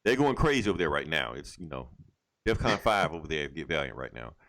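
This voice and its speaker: A man hosting a casual podcast, loose and halting as he thinks aloud. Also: extremely low pitch, sing-song, a bit bright, no room sound. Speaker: podcast host. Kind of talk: casual chat, show sign-off.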